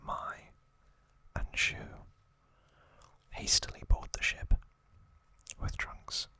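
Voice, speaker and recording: A man hosting ASMR, giving a trivia recap in a soft, breathy, unvoiced whisper with halting, informal asides. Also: no echo. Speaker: ASMR host.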